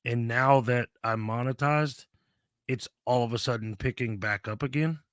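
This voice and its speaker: A man's voice: medium pitch, moderate pace, a bit bright, dry recording, studio quality. A man hosting a casual livestream, losing his voice, laid-back and laughing often. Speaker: livestream host. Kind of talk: casual chat.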